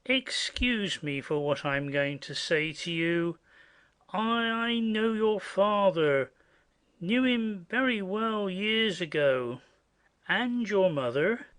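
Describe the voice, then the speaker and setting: A man playing an elderly, earnest colonel who speaks haltingly and with fatherly courtesy in an audio stage play. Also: high-pitched, expressive, a bit bright, clear, resonant tone, heavily acted. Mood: hesitant, polite.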